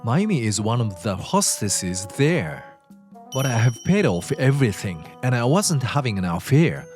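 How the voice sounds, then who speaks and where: A man's voice: mid-range voice, sing-song, normal speed, darkish tone, close to an everyday voice. A man playing a deceitful, pleading and impatient husband in a manga dub drama. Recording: music behind the voice.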